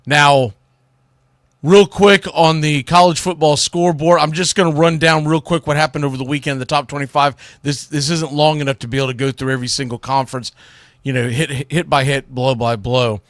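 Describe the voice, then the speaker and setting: Male solo sports commentator, somewhat high-voiced, opinionated and informal, close dry sound. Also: steady tone, fairly quick, fairly bright tone, no echo. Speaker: sports talk show host.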